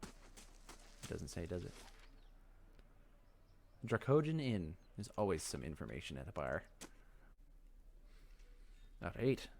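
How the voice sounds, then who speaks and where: A man's voice: low-pitched, big pitch swings, takes it slowly, gravelly, long pauses, a little mellow, a little hiss or hum. A man hosting a let's play, giving casual commentary on a game as he plays.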